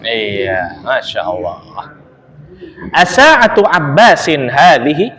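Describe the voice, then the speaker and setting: A young man teaching an Arabic lesson, chatty and relaxed, laughing often and reading phrases slowly for students to repeat. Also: mid-range voice, a crowd in the background. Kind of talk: casual online Arabic lesson, reading aloud for repetition. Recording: long reverberant echo.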